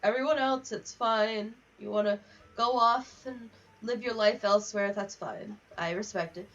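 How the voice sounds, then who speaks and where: A woman's voice: mid-range voice, unhurried, fairly bright tone. A young woman chatting casually on a gaming livestream, rambling from one aside to the next. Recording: distant, slightly muffled mic.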